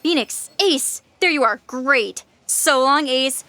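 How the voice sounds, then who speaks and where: A woman's voice: high-pitched, takes it slowly, bright, forceful tone, full character voice. A woman playing a gleeful, impatient talking bomb in a comic sci-fi audio drama, brash, flippant and sarcastic. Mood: breezy, mocking.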